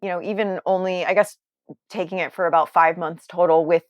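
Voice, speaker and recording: A young woman with a somewhat low speaking voice tells her story in a candid, earnest way. She wanders and circles back on herself mid-sentence. The sound is dry and close, with no room around it. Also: steady tone, a little fast, no echo. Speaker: guest. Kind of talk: candid conversational interview.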